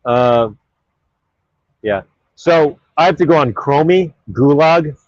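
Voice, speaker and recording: A man's voice: fairly high pitch, takes it slowly, a little mellow, no room sound, dull audio. A man hosting his own live stream in casual chat, reading out viewer comments in a rambling, offhand way with plenty of fillers.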